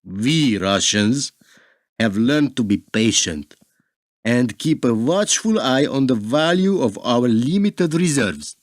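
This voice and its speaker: A man's voice: mid-range voice, animated, slow pace, no room sound, studio quality. A man in a radio play acting a proud, defiant Russian ambassador, speaking in a grave, formal, emphatic way.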